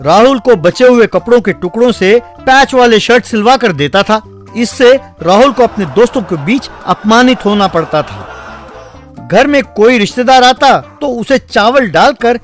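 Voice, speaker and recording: High-pitched man narrating a story. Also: lively intonation, a little slow. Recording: music behind the voice. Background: background music.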